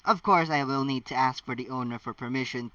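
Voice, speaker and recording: A man reading fan fiction as an amateur channel host, with animated, halting delivery and casual asides. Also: mid-range voice, dry recording. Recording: dull lo-fi, distant mic.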